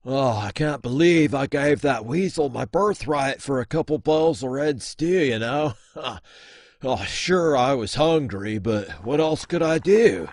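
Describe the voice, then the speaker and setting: A man playing a rough, aggrieved outdoorsy elder brother in a comic audio drama, talking in a colloquial, exasperated drawl. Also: mid-range voice, expressive, unhurried, a little mellow, dry recording, clean studio sound. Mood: rueful, sardonic.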